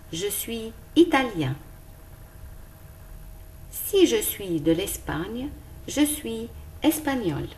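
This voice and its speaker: Female schoolteacher, teaching children slowly with a lively, rising and falling voice. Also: medium pitch. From Lebanon. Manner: slow, careful and clearly articulated. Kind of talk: recorded lesson for young pupils.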